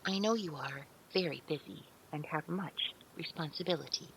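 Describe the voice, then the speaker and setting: A woman's voice: low-pitched, moderate pace, a bit bright, a little hiss or hum, poor audio. A woman playing a polite, childlike artificial intelligence in an audio drama, speaking earnestly with precise, formal, literal wording. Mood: earnest, inquisitive.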